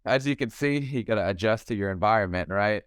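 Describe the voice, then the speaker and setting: A young man with a low, smooth voice talks loosely and at length, dropping "man" and "bro" as he goes. He is a podcast guest on a video call from home. The sound is close and dry. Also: fairly quick, dry recording. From Thailand. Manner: relaxed, talkative, calls the host bro and man. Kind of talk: casual interview chat.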